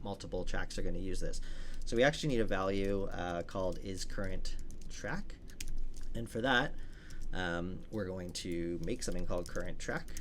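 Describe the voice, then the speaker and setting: Low-pitched man giving a coding tutorial. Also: slight background noise. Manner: informal, explains step by step while working. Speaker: programming tutorial instructor. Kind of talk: casual screen-share coding walkthrough.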